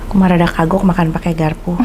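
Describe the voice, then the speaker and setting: A woman's voice: on the low side, flat delivery, fast talker, background noise. A young woman hosting a casual food review, chatty and savoring each bite as she comments. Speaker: food vlogger host. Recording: clattering dishes and pans behind.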